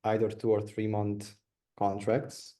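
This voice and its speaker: A man's voice: on the low side, completely flat delivery, takes it slowly, warm tone. A young man from Romania, answering interview questions on a video call, a bit hesitant and repeating words. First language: Hungarian. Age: young adult. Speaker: guest, video editor.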